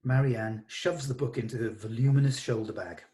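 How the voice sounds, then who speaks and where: A man's voice: mid-range voice, normal speed, dark tone. A man giving a scripted narration of screenplay stage directions in a read-through over a video call. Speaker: reader of stage directions, running the rehearsal.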